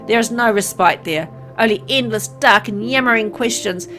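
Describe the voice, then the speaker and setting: A woman's voice: a little high, moderate pace, bright, forceful tone, faint music behind. A woman reading dramatic first-person visual novel narration aloud in a brooding, theatrical way.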